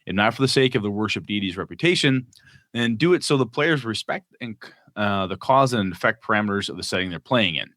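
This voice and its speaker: A man with a low, bright and forceful voice co-hosts a casual gaming podcast. He reads listener mail aloud and breaks off for jokey asides. The close mic sounds dry. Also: lively intonation, brisk, no echo.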